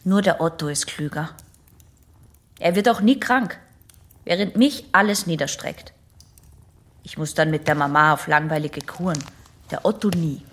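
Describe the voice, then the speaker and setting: An actress playing a guarded, dryly defiant young patient in a radio play, answering tersely and reluctantly. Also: female voice, low voice, moderate pace, pauses often, fairly bright tone, slight background noise. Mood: sullen, sardonic.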